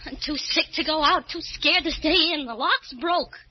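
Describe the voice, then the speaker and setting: An actress playing a frightened, nagging young wife in melodramatic 1940s radio style. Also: female voice, high-pitched, moderate pace, natural voice. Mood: bitter, overwrought. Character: frightened, nagging young New York wife, bitter and frantic. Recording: dull old radio recording.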